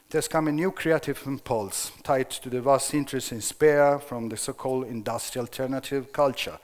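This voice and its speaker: A man in his sixties with an Italian accent, giving a conference lecture in English, hesitant and searching for words. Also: mid-range voice. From Italy. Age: 60s. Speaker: conference speaker. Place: conference hall. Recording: long hall reverb.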